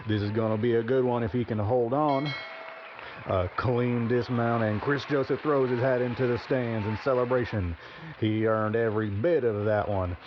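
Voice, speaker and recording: A man's medium voice, an actor as an enthusiastic 1980s rodeo announcer. The delivery is showy and folksy play-by-play. The broadcast sound is dull, with clear noise behind it. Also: medium pitch, fairly even intonation, normal speed, close to an everyday voice. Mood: excited. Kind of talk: 1980s sports commentary acting.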